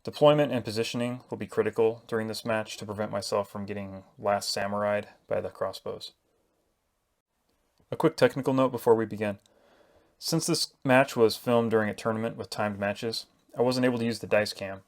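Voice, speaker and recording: A man's voice: lowish voice, fairly even intonation, fairly quick. A man hosting a tabletop miniatures channel, narrating a prepared introduction to a game. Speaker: host of a miniatures battle report channel.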